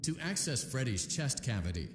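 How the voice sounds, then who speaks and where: An actor playing a calm, upbeat male voice that gives repair instructions in a video game. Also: medium pitch, normal speed, noisy background, lightly acted. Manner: calm, upbeat corporate training tone. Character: friendly male corporate repair-training voice. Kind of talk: scripted game voice-over instructions. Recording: echoey reverb with game music behind.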